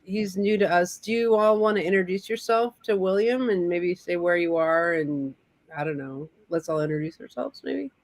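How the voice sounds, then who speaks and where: A woman's voice: lowish voice, normal speed, dry recording. A woman hosting a casual astrology livestream, chatty and playfully teasing her guests. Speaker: livestream host. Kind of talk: casual group chat.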